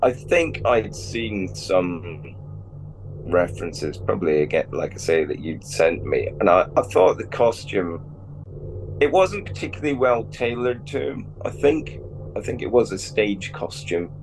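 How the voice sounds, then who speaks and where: A man with a cold and a gravelly voice, chatting casually as a guest about his work, relaxed and rambling, often correcting himself. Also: sits low, measured pace, noisy background. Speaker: toy company creative director, guest. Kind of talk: casual interview chat.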